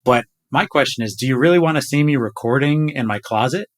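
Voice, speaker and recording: A man's voice: mid-range voice, a little fast. A man hosting a podcast, reading a scripted intro and then chatting eagerly with his team, often tripping over his words. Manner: enthusiastic, self-deprecating, stumbles on words. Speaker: podcast host. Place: home closet.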